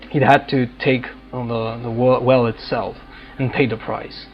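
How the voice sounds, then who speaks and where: A man doing casual game commentary, thinking aloud in halting fragments as he plays. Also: mid-range voice, lo-fi sound. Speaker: gaming video host playing and commenting.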